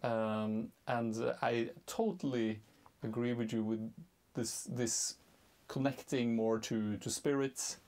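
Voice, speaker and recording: A man in his thirties hosting a casual interview, teasing and playful, halting with fillers, in a cluttered storage room. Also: medium pitch, steady tone, darkish tone. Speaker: talk show host.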